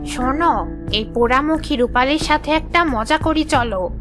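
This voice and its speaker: A woman's voice: sits high, normal speed, natural voice. A woman voicing a mocking, mischievous village neighbour in a children's fairy-tale cartoon. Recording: music behind the voice.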